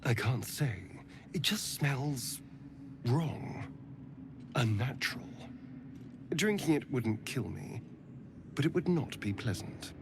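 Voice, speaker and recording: A man playing an arch, fastidious vampire spawn in a video game, with a flamboyant, theatrically affronted manner. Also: mid-range voice, expressive, creaky, noisy background. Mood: affronted, disgusted. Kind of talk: dramatic video game acting.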